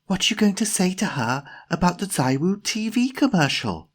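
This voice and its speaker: A man voicing an excitable, bossy young woman in an audiobook story, breathy and urgent. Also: on the high side, measured pace, voice pushed up. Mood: urgent whispering.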